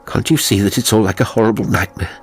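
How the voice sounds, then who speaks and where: A man voicing a tormented English gentleman in an audiobook, evasive and desperate, with a strained, halting intensity. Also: fairly low pitch, soft background music, pitched above the performer's own voice, lightly acted. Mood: anguished. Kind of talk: audiobook character acting.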